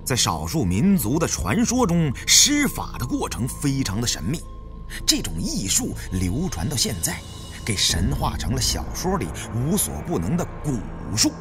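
A man voicing a long-winded, bookish adviser who explains ancient lore in a measured audiobook style. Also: mid-range voice, huge pitch swings, music in the background. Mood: explanatory. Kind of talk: audiobook character acting.

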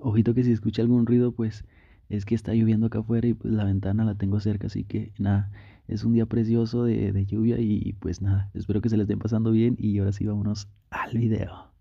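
A man casually introducing an ASMR video in a soft-spoken way, as the channel's host. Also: on the low side, little pitch movement, fast talker, creaky, slightly rough, no echo, lo-fi sound.

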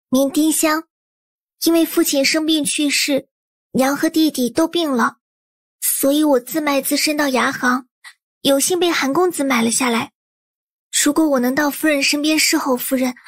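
A woman acts out a young maidservant in a historical audio drama. The girl's voice is high and closely recorded, earnest and humble, pleading with deference to those above her. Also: moderate pace, dry recording, close to an everyday voice.

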